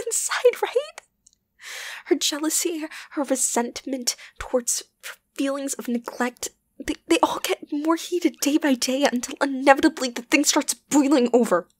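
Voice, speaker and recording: A woman voicing an obsessive, lovesick young yandere best friend in an ASMR roleplay, halting and intimate, often slipping into a whisper. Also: sits high, no room sound. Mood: agitated, jealous. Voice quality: breathy, often dropping to a whisper. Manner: halting, intimate, wavering between tender and agitated. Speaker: voice actor.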